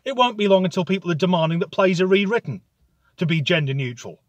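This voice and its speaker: A man's voice: high-pitched, no room sound. Sweary, sarcastic man ranting. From United Kingdom. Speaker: comedic ranter. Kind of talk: sardonic comic monologue.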